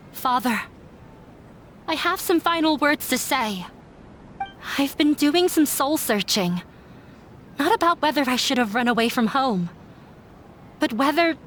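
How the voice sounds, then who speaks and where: An actress playing an earnest runaway daughter standing up to her father in a game dub, with formal, measured delivery. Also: sits high, a bit bright, a little hiss or hum. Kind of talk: dramatic game dialogue. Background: background music.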